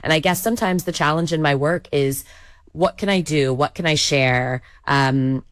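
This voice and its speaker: A woman with a notably low voice talks animatedly about directing storytellers. Her speech is chatty and full of ums, and the recording is dry and close. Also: no room sound. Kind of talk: conversational interview answer.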